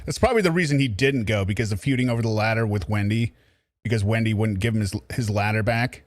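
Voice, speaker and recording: A man's voice: lowish voice, quick pace, no echo. A man giving casual, off-the-cuff commentary as a livestream commentator.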